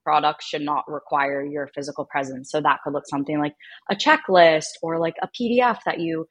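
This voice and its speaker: A woman's voice: low voice, fairly quick. An American woman as a podcast guest, explaining things in an informal, instructive way. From United States. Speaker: podcast guest, marketing and funnel strategist. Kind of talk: explanatory interview talk.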